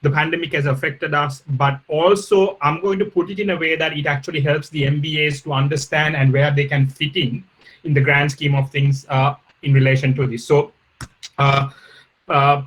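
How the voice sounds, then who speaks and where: A man from Sri Lanka, giving a polite, measured guest lecture over a video call. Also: on the high side, a bit bright, low-quality recording. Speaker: guest professor. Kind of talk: online lecture.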